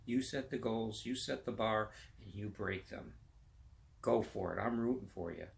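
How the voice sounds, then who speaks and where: A man's voice: on the low side, fairly even intonation, moderate pace, gravelly, slight background noise. A man in his fifties hosting a casual vlog, chatting enthusiastically about sports and fitness in a motivational way. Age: 50s. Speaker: vlog host.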